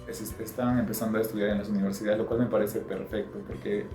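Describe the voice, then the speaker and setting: A young man speaks in a low register, leading a student discussion and rephrasing himself as he thinks. His voice rings in an echoey room with music playing behind him. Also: little pitch movement, fast talker, mellow. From Peru. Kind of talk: group discussion.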